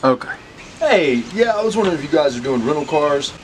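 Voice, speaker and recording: A man's voice: on the high side, lively intonation, fairly quick, a little hiss or hum, natural voice, poor audio. A man playing a frustrated man on the run in naturalistic drama dialogue, casual, hesitant and haggling. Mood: pleading, casual.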